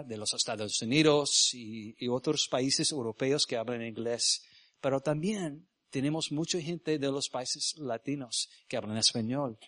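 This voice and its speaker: A man born in Venezuela who grew up speaking English, a missionary preaching in Spanish with an American English accent, often halting to search for words and asking the congregation questions. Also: mid-range voice, fairly bright tone, dry recording, clean studio sound. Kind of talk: sermon with personal stories. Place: church.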